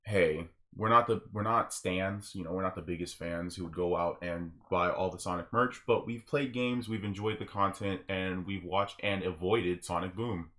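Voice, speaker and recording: A man's voice: sits low, steady tone, normal speed, hardly any pauses, pushed and bright. A man chatting casually on a group podcast as a host, explaining his opinions.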